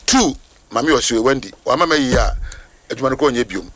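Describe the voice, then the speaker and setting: A man's voice: mid-range voice, lively intonation, fairly quick, a bit bright. A man, a pastor, talking on a radio show and explaining with assurance. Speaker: pastor and guest. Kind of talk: casual radio chat.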